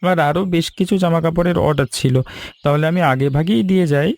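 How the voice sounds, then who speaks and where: A man voicing a polite, businesslike cloth buyer in an animated story, matter-of-fact in manner. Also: fairly high pitch, fast talker, no echo, lightly acted. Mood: polite, approving. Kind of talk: Bengali animated story dialogue.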